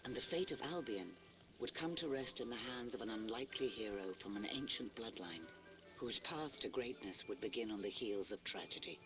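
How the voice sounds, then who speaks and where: A man's voice: fairly low pitch, lively intonation, a little fast, lightly acted, poor audio. Solemn storybook narrator male actor, dramatic fantasy legend telling, music underneath. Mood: grave, portentous. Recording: music behind.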